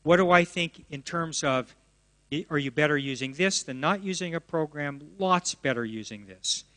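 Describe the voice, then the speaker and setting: A man's voice: on the high side, moderate pace, pushed and bright. Man answering questions in an echoing hall. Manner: enthusiastic, answers at length with restarts. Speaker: presenter of educational software. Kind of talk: Q&A after a talk. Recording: long reverb of a hall.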